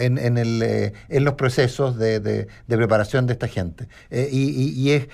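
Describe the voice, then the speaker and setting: A man's voice: medium pitch, vocal fry, a little mellow. A man with a Chilean accent commenting in a live radio conversation, often repeating words as he searches for the next one. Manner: hesitant, stammering repetition of words. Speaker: radio show panelist.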